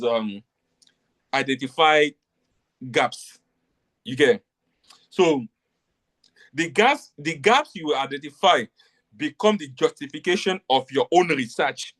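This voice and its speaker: A man's voice: medium pitch, a bit bright. Man lecturing, checking understanding often. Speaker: tutor. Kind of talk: live online lecture.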